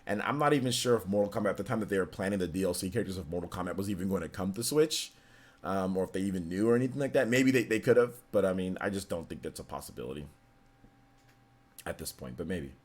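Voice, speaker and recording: A man's voice: low-pitched, animated, fast, darkish tone. A man in his forties chatting casually as a streamer, loose and filler-heavy, answering chat questions and laughing easily. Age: 40s. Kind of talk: casual livestream Q&A.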